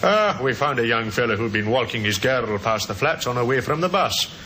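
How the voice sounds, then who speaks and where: A courteous, diligent detective sergeant in a radio play, speaks deferentially in a bright, keen voice. His intonation is lively, and the old recording has slight noise. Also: medium pitch, brisk. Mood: earnest, reporting. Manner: deferential, hesitant politeness. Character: polite, diligent male police detective sergeant. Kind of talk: old-time radio drama acting. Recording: noisy vintage radio drama recording.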